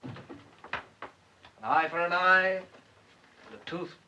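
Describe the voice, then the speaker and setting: A cheeky young gentleman with a slightly raised voice, all mocking, playful wit. He needles with a light touch. Old film hiss runs under him. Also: sits high, fairly even intonation, slow pace, heavily acted. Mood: teasing. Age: young adult. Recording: hissy 1930s film soundtrack.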